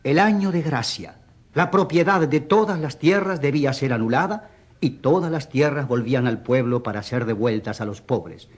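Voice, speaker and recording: An actor playing an eloquent defence lawyer in a courtroom radio drama, rhetorical and emphatic, with sardonic courtesy. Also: male voice, mid-range voice, normal speed, close to an everyday voice. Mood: emphatic, assured. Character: eloquent, ironic defence lawyer for a rural priest.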